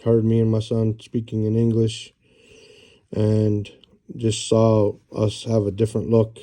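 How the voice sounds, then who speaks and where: A middle-aged American man showing and describing books in a relaxed, rambling way, with many fillers and restarts. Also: on the low side, measured pace. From United States. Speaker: book reviewer. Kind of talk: casual book show-and-tell.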